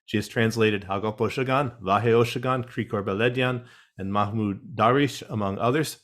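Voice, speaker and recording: A man moderating an online panel, reading guest introductions in a measured way with wry asides over a video call. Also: on the low side, a bit bright.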